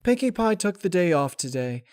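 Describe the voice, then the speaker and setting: A man's voice: high-pitched, sing-song, normal speed, darkish tone, voice pushed up. A man voicing a matter-of-fact grown female pony shopkeeper in a story reading, plain and helpful.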